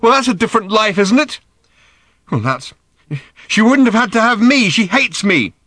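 A sulky, explosive teenage girl screams and rages in a high, strained voice, as a male narrator puts her on. Her outbursts are petulant and shrill, and they come through bright and close with no room around them. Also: very animated, moderate pace, no room sound, full character voice. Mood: bitter.

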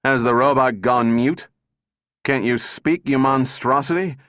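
A man's voice: mid-range voice, lively intonation, slow pace, a bit bright, voice pushed up. A man voicing an irritable, hostile male mathematician in a storybook reading, blustering and demanding. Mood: exasperated. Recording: echoey room, dull muffled sound.

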